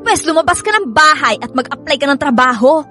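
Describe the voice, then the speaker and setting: Emosyonal na asawang babae, mataas ang boses. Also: female voice, sits high, animated, fairly quick, bright, forceful tone. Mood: exasperated. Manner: emotional, scolding, pleading. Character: hardworking, nagging, hurt wife and mother. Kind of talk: Filipino radio drama acting. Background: background music.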